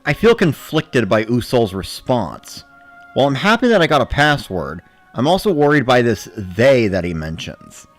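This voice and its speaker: A man giving a measured, matter-of-fact first-person narration of a scripted story. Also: mid-range voice, big pitch swings, faint music behind. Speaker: narrator of a dramatic reading.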